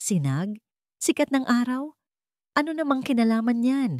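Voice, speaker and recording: Lady reader as snooty mistress in a drama story, defensive, super animated pitch, no room sound. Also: normal speed, no echo, clean studio sound, close to an everyday voice. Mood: puzzled, defensive. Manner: haughty, denying. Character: arrogant mistress, haughty and defensive liar.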